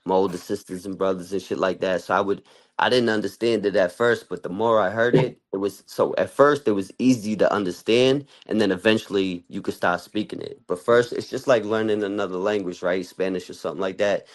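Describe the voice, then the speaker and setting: Deep-voiced man in casual street talk. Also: steady tone, a little fast. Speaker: commentator on a talk show.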